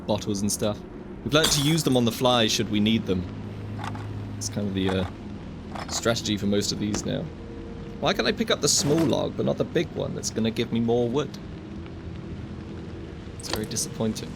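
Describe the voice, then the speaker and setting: A man's voice: on the low side, animated, normal speed, creaky, long pauses, noisy background. Joking young man narrating a VR game. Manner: chatty, joking, wry asides to the viewer. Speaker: gaming video host. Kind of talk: casual let's-play commentary.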